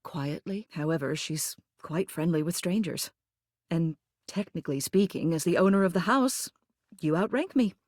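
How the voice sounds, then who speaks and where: A woman acts out an older lady mayor from a video game, poised and commanding. Her voice sits a little low and is recorded very dry. She speaks with genteel, theatrical polish, like someone used to running things. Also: normal speed, a little mellow, dry recording, lightly acted. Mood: confiding, concerned. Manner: genteel, theatrical, uses fond endearments. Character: gracious, commanding older lady mayor, a living household object. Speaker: mayor and homeowners' association president. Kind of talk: scripted video game character dialogue.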